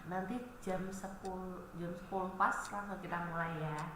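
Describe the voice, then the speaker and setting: Woman live-stream host, nasal from a cold, chatty and friendly; slightly low voice; very reverberant room, audible background noise. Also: steady tone, moderate pace. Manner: chatty, friendly, reads viewer comments aloud. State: stuffy nose from a cold. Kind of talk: casual live chat greeting viewers.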